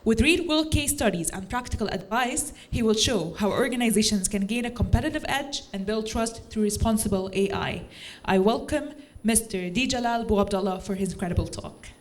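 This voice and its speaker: A woman introducing the next speaker at a conference, reading a prepared introduction smoothly. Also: a little high, a little fast. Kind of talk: scripted introduction. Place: conference stage.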